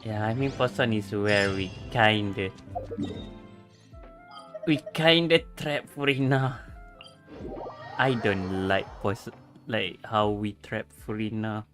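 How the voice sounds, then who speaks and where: A man's voice: lowish voice, slow pace, long pauses, faint music behind. A man livestreaming a game, chatting casually with exasperated, slangy, swearing remarks. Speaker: streamer.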